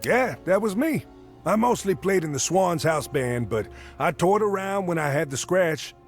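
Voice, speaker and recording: An earnest, chipper musician in a game. His pitch moves freely as he talks in a hesitant, folksy manner, with music underneath. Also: animated, moderate pace. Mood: upbeat, sheepish. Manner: hesitant, folksy, mild exclamations. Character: earnest, chipper male jazz musician and party organizer. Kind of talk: voiced dialogue in a narrative RPG.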